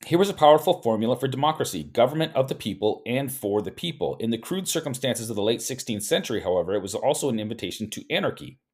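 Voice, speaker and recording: A man with a mid-range voice reading a history book aloud at an even pace. He trips over a word now and then and repeats it, then drifts into relaxed off-script comments before going back to the text. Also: mid-range voice, quick pace, few pauses. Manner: reads steadily, stumbles and repeats words, breaks off into chatty asides. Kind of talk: reading aloud with casual asides.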